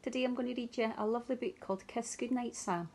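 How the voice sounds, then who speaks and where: A woman in her thirties reading a picture book to young children expressively and deliberately, with dramatic pauses. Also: fairly high pitch, a little mellow, husky. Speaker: early years teacher reading to children. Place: small room at home, indoors.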